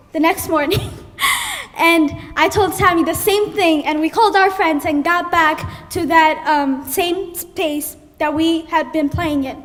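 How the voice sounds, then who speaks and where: High-pitched young woman from Ethiopia, animated live storyteller, long hall echo. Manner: animated, acts out her own panicked thoughts. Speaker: student storyteller. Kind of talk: personal story told on stage.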